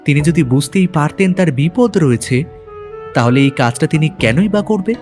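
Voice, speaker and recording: A man playing a detective's curious male companion in an audio drama, asking questions in an eager, animated way. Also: on the high side, normal speed, pitched above the performer's own voice, close to an everyday voice. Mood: puzzled. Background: background music.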